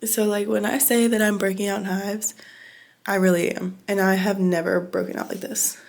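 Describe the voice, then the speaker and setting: Congested young woman vlogger with creaky voice. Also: mid-range voice, level tone, moderate pace, slight background noise. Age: young adult. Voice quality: congested, stuffed-up. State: sick with congestion and chest cough. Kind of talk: casual confessional vlog chat.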